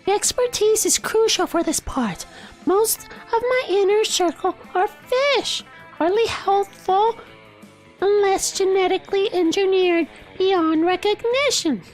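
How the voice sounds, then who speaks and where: A man's voice: very high voice, soft background music, voice pushed up. A man voicing a haughty, sweetly ruthless young mermaid princess from a video game, prim and grandiose. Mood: regal, scheming. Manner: prim, grandiose, drawn-out delivery.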